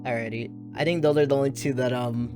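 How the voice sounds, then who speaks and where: A chatty stream host who keeps breaking into giggles, with a voice that sits at an ordinary middle pitch. Plucked guitar music plays clearly behind the talk. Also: fairly even intonation, fast. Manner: giggly, chatty, teasing questions. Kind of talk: casual streamed chat.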